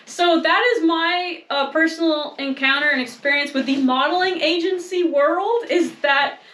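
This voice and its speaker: A young woman co-hosting a casual chat, self-mocking and quick to laugh. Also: very high-pitched, a bit bright, echoey room, poor audio. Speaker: co-host.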